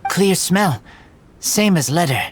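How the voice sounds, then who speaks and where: An actor playing an earnest feral boy raised by wolves in a video game, speaking in halting, broken phrases. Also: mid-range voice, lively intonation, a little hiss or hum. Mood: puzzled. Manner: halting broken phrases, third-person self-reference.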